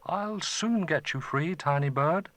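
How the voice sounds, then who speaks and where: A man doing a high, eager little boy. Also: unhurried, a bit bright, dry recording. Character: small brave boy, anxious but plucky.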